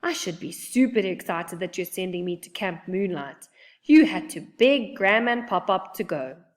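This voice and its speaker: A woman voicing an awkward young bigfoot boy, squeaky, whiny and self-conscious. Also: expressive, normal speed, lightly acted. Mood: sulky, sarcastic. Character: awkward, anxious, sulky young bigfoot boy, huge for age.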